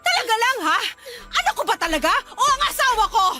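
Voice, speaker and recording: An actress playing a furious betrayed wife and older sister in a radio melodrama, shouting sarcastic accusations. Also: female voice, very high-pitched, sing-song, brisk, over loud music.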